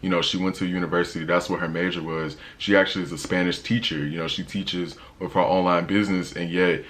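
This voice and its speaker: A young man with a deep voice, talking casually in a travel vlog with a laid-back, slangy manner and lots of fillers. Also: steady tone, quick pace, fairly bright tone. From United States. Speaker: travel vlogger.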